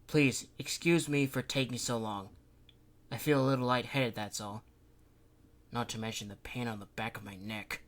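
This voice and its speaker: A man's voice: mid-range voice, steady tone, moderate pace, natural voice. A man doing a weary ailing councilman. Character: ailing middle-aged councilman, lightheaded and worried.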